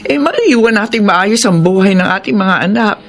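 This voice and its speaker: A woman's voice: medium pitch, lively intonation, moderate pace, faint music behind, natural voice. An actress playing a worried, long-suffering older mother in a Filipino radio drama, plaintive and admonishing. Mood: sorrowful.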